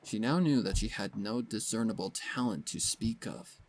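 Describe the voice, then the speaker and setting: A woman's voice: extremely low pitch, lively intonation, moderate pace, poor audio. A woman narrating a scripted dramatic story as its storyteller. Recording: music behind the voice.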